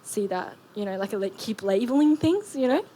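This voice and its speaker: Halting woman from Japan asking a question. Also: a little high, lively intonation, warm tone, slight background noise, poor audio. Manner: halting, heavy use of "like". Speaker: audience member. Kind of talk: audience question.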